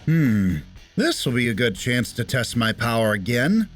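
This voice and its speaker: A man's voice: medium pitch, sing-song, unhurried, soft background music. A man playing a shy, earnest teenage science student in a comic dub, talking dramatically to himself. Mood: pondering. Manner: earnest, dramatic self-talk.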